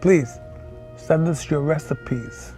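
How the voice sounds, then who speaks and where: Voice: mid-range voice, slow pace, warm tone, soft background music. An interviewer hosting a casual food segment, upbeat and joking with the guest.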